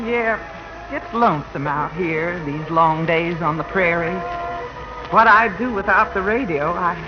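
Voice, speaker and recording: A wistful prairie loner with a slightly high voice. He muses in a folksy way, in 1940s radio style. Music sits behind him on a muffled old broadcast. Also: unhurried. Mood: wistful, lonesome. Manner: folksy, musing. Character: lonesome male prairie dweller, plain-spoken and wistful. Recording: dull 1940 radio broadcast, loud music.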